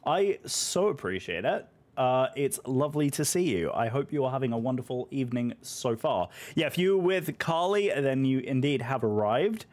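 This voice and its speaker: A British man, hoarse from a voice that is still recovering, chatting casually and effusively with viewers on a live stream. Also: medium pitch, sing-song, moderate pace, few pauses, a little mellow. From Britain.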